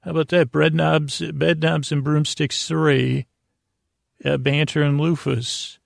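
A man with a creaky voice hosting a bedtime podcast in a lulling, friendly way, meandering and halting through tangents. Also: fairly high pitch, level tone, no echo, clean studio sound. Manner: meandering, halting, tangent-prone, self-interrupting. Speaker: podcast host. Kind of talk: rambling bedtime monologue to help listeners sleep.

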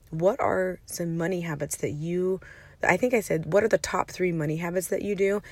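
A hoarse woman in her thirties hosts a solo money podcast from her parked minivan. Her voice sits a little low and sounds close and dry, with no room echo. She talks upbeat and chatty, with fillers and restarts. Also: a little mellow. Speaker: podcast host and money coach.

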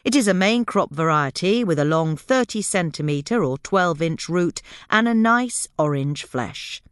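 A woman reading a scripted gardening piece as a podcast narrator, measured and explanatory with clear phrasing. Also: lowish voice, expressive, no room sound, clean studio sound.

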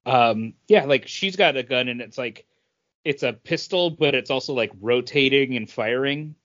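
A man with a medium-pitched voice talks casually as a podcast co-host. He is loose and halting, feeling for his words, and the recording sounds a little dull. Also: fairly bright tone. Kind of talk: casual chat.

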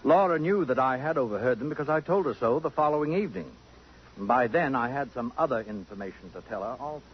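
A man's voice: medium pitch, lively intonation, quick pace, a little hiss or hum, close to an everyday voice. An actor playing a suave, possessive, sardonic society man in a 1950s radio drama, with arch and precise pompous diction. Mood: dry, sardonic. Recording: muffled vintage radio broadcast.

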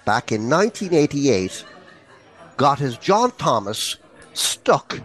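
A man's voice: mid-range voice, sing-song, takes it slowly, a bit bright. A man co-hosting a comedy podcast, telling bawdy stories crudely and with theatrical emphasis. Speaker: podcast co-host, comic storyteller. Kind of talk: bawdy comic storytelling.

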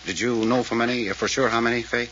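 An actor playing a terse, secretive, authoritative American detective in a 1940s radio mystery. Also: male voice, mid-range voice, fairly even intonation, fast, fairly bright tone, slight background noise, lightly acted. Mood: urgent instructing. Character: commanding, secretive, determined lead detective. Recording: muffled, humming old radio recording.

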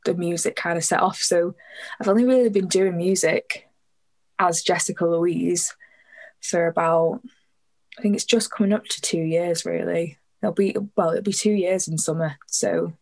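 A woman's voice: fairly low pitch, fairly even intonation, moderate pace, creaky. A woman in her twenties with a northwest English accent, a musician chatting casually as an interview guest, with lots of fillers and self-corrections. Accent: northwest English, near Warrington. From northwest England.

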